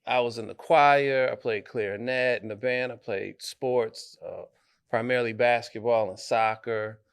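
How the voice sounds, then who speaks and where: Smooth-voiced man, thoughtful and halting. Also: mid-range voice, fairly even intonation. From Detroit. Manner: thoughtful, halting, many fillers and restarts. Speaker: guest artist and educator. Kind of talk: conversational interview.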